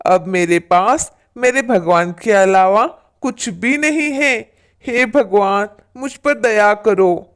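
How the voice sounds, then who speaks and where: A woman's voice: medium pitch, slow pace, clean tone, close to an everyday voice. A woman voicing a poor, humble male farmer in a storybook tale, pleading and lamenting in sorrow. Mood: sorrowful. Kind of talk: storybook character acting.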